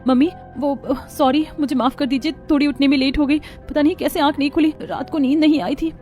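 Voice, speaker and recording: A woman's voice: high voice, fairly even intonation, fairly quick, warm tone, faint music behind, close to an everyday voice. A woman voicing an anxious, fretful young newlywed bride in a Hindi cartoon story. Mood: apologetic, nervous. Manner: fretful, apologetic.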